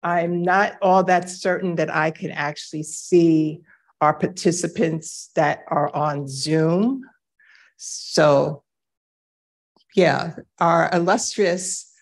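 A middle-aged woman moderating a reading circle, speaking slowly and measuredly with self-deprecating asides, filmed from afar in a bright gallery room. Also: fairly low pitch. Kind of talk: live event introduction and moderated conversation. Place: bright wooden-floored gallery room, indoors.

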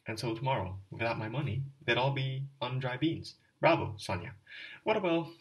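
A man's voice: medium pitch, expressive, normal speed, fairly bright tone, close to an everyday voice. A bitter, brooding young man muttering caustically to himself, as a man reads him aloud. His tone is dry and sardonic. The voice is a little distant from the mic. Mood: caustic.

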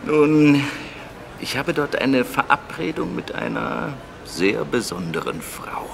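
Genial worldly american adventurer, radio drama, very lively delivery, busy ambience behind him. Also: male voice, medium pitch, slow, gravelly, noisy background, full character voice. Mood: intriguing, genial. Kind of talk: German radio play acting.

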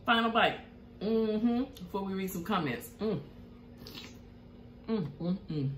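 A woman's voice: mid-range voice, lively intonation, takes it slowly, roomy sound, a little hiss or hum. A middle-aged woman with a Southern US accent hosting an eating show at home, humming over her food between chatty remarks and reading viewer comments aloud. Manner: chatty, savoring food with hums between bites. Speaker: mukbang host. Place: home room at a table.

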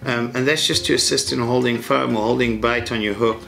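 A man's voice: medium pitch, fairly even intonation, a little fast, vocal fry, a bit bright, soft background music. A middle-aged man, teaching a fishing rig step by step in a practical, matter-of-fact way from an indoor tackle room. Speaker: fishing instructor. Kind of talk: hands-on tutorial.

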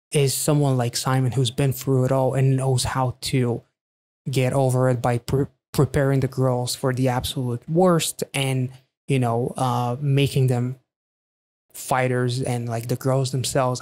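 Man, thirties, mid-pitched voice, casual commentary, halting and rambling, close-miked in a small studio. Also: a little mellow. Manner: halting, rambling, thinking aloud. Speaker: reaction video host. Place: small quiet indoor home studio. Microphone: close studio microphone.

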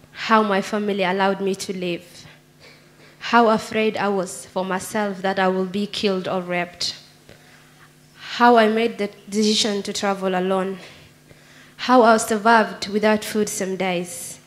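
A young woman from Somalia, a native Somali speaker, reading her spoken word poetry on a theatre stage into a handheld mic in an earnest, measured way. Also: mid-range voice. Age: young adult. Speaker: poet.

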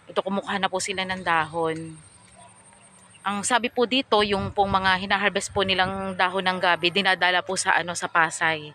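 A middle-aged woman chats her way through a walking vlog outdoors, polite and a little rambling with lots of fillers. Her voice is bright and forward. It is picked up by a phone held out on a selfie stick in an open field. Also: medium pitch, flat delivery, normal speed, slight background noise. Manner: polite, chatty, frequent fillers. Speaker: vlogger. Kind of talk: casual walking vlog narration.

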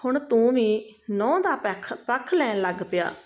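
A woman voicing a sharp-tongued, bitter, domineering mother-in-law in a narrated family story. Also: fairly high pitch, lively intonation, a little slow. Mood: sharp scolding. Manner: scolding, sharp.

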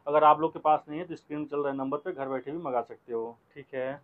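Male shop-video host, quick effusive sales patter, repeats himself, slightly muffled sound. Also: a little high, steady tone. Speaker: host presenting products at a wholesale shop. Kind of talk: promotional product showcase commentary. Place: small cluttered shop, indoors.

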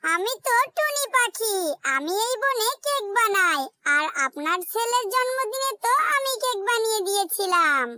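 ভীষণ চিকন গলার ছোট্ট পাখি. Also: female voice, extremely high pitch, animated, moderate pace, sharp tone, heavily acted. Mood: cheerful. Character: small honest cake-baking bird. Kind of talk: children's animated cartoon story dialogue.

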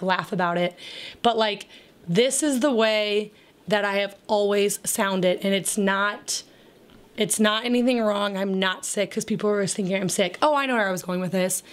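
Brief: young woman, naturally raspy and crackly voice, mid-pitched, chatty home vlogger, loose and rambling. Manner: chatty, rambling, with frequent fillers and laughs. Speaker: homemaking vlogger. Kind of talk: casual voice-over chat.

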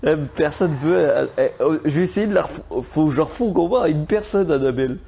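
A man's voice: on the high side, animated, a little fast, gravelly, dark tone, slight background noise. A man making casual, slangy prank-call banter on a live stream, with a cheeky manner. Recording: dull lo-fi live stream audio.

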